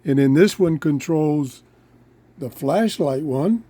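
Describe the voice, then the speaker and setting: A man with a relaxed, mellow voice set a little higher than most men's presents a casual hands-on product demonstration. He speaks slowly, in halting short pieces, pausing to think as he goes, like the host of a homemade review show.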